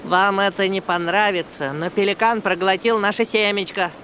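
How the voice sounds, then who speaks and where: A man's voice: sits high, steady tone, normal speed, background noise, lightly acted. A man voicing a small, dramatic, bookish monkey in a dubbed children's cartoon, theatrical and exclamatory. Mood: earnest. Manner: exclamatory, theatrical, self-talking. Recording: music under the voice.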